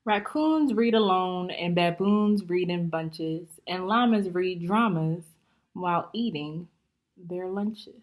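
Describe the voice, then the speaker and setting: A woman teacher reading a picture book aloud to young students in a deliberate, instructive way, pausing to explain and ask questions. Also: fairly low pitch, expressive.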